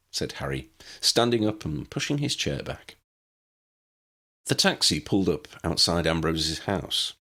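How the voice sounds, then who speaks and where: A man's voice: lowish voice, a bit bright. A man reading scripted story narration in an audiobook manner, moving between the narrative and its characters. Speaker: audiobook narrator reading own fan fiction.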